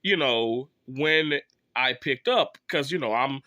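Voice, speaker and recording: A man's voice: mid-range voice, slow pace, sharp tone, dry recording. A man in his thirties, sick with a cough, telling a rambling, exasperated story to his viewers with many fillers and restarts. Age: 30s. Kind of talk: casual story time monologue.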